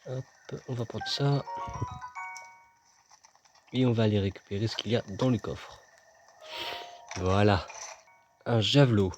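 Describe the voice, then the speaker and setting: A man's voice: lowish voice, unhurried, long pauses, over music. A man casually commenting as he plays a video game, joking and chatting with himself and his viewers. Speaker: gaming video host. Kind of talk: casual let's-play commentary.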